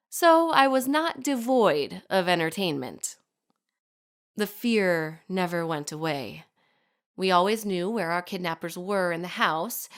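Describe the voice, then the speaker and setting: A poised, wryly formal lady. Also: on the low side. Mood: measured, reflective. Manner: formal, precise, archly wry diction. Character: poised, sardonic society woman recounting her kidnapping by letter. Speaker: actor in a fiction podcast. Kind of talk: scripted audio drama monologue, letter read aloud.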